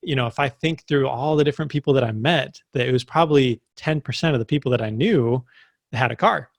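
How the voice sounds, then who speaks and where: A man's voice: mid-range voice, fast, vocal fry, dry recording. A young man giving a casual motivational talk, full of "like" and "right?" fillers. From United States. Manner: filler-heavy, many "like" and "right?". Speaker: summit speaker.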